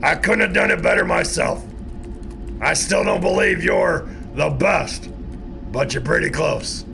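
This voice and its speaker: A man playing a gruff, proud but kind young team hero in a cartoon-like audio drama. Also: on the high side, wildly swooping pitch, measured pace, noisy background, full character voice. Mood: grudging.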